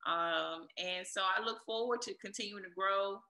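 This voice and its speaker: A woman speaks as a guest in a casual interview. Her voice is strikingly bright and sharp, at a middling pitch. She talks in an encouraging, motivational way, and the sound is noticeably echoey. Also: normal speed, gravelly. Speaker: interview guest, air traffic controller and DJ. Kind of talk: casual conversational interview answers.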